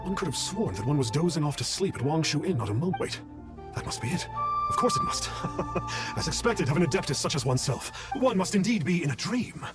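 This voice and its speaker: A man's voice: a little high, very animated, fairly quick, over loud music. A man playing a pompous fraud who poses as an immortal in a video game, speaking in grandiose, theatrical, archaic phrases. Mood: pompous, haughty. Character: pompous fraud male folklore fan posing as an immortal.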